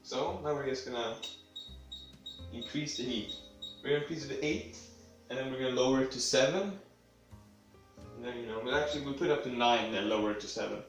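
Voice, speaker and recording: A man's voice: mid-range voice, fairly quick, dull audio. A young man with a cold, sniffly, rambling offhand and swearing casually as he cooks in his home kitchen. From Sweden. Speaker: home cooking video host. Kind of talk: casual cooking demonstration. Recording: echoey room, mic at a distance, faint music.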